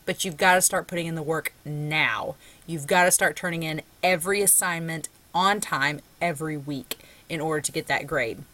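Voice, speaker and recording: A woman's voice: on the low side, fairly bright tone. A woman with a Southern US accent, a college English instructor giving a conversational recorded lecture with frequent ums. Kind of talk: recorded lecture with screen share.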